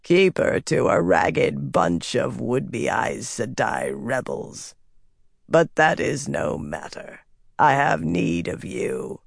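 An audiobook narrator voicing a menacing, commanding female servant of evil with a slow, rasping, growling hiss. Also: medium pitch, sing-song, no echo, studio quality, pitched below the performer's own voice, full character voice. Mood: scornful, demanding. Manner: slow, imperious, curt. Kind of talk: audiobook character voice.

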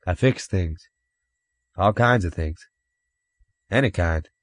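A man acts out a worn-out stranger in an audiobook. The voice is low, dry and raspy, with halting, exhausted phrasing. The sound is close and dry. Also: dry recording. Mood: weary. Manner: halting, tired. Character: weary, hungry, stooped stranger, a worn-out man.

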